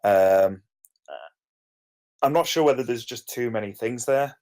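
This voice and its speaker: A man's voice: medium pitch, measured pace, darkish tone. A man giving informal feedback in a hesitant, rambling way, hedging his opinions. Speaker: participant giving script feedback.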